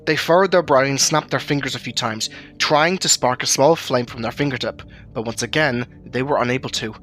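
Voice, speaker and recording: A man's voice: mid-range voice, a little fast, a bit bright. A man reading scripted third-person narration for an audio drama. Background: music.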